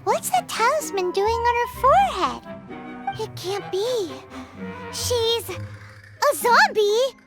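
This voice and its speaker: A woman voicing a tiny, childlike fairy companion in a video game, squeaky, blunt and exclamatory, over music. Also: animated, slow pace, long pauses, full character voice. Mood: puzzled, skeptical. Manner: squeaky, exclamatory, speaks of herself in third person. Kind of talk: scripted video game dialogue.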